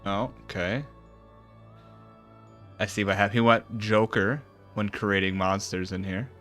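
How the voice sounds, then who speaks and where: A man's voice: fairly low pitch, steady tone, measured pace, fairly bright tone. A man in his thirties streaming a game with casual, slangy, joking reactions and some dialogue read aloud. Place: small room at home. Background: game music.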